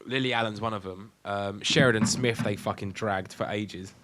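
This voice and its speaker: A man's voice: on the low side, steady tone, moderate pace, noisy background. A British man co-hosting a podcast in casual, jokey, sweary banter that rambles along. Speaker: podcast co-host. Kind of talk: casual banter between friends.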